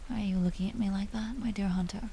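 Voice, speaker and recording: A woman playing an aristocratic vampire duchess in a roleplay audio drama, commanding and teasing yet secretly tender, often hushed and confiding. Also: medium pitch, fairly even intonation, fast, creaky, a little hiss or hum, natural voice. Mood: confiding, guarded.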